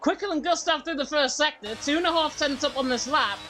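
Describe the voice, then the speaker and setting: Bright-voiced excited racing commentator, man. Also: extremely high pitch, slight background noise. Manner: fast, excited play-by-play. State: slightly croaky from being unwell. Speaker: lead commentator.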